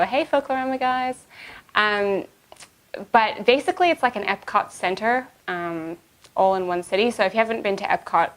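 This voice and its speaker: A woman's voice: on the low side, poor audio. Chatty Canadian woman vlogging. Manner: chatty, rambling, many fillers. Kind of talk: casual chat to camera.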